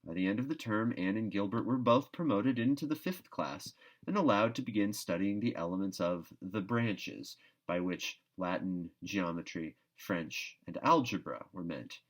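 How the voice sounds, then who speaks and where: A man with a fairly low voice reads a storybook aloud. His narration is expressive and theatrical, leaning on key words with emphatic phrasing. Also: normal speed. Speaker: audiobook reader.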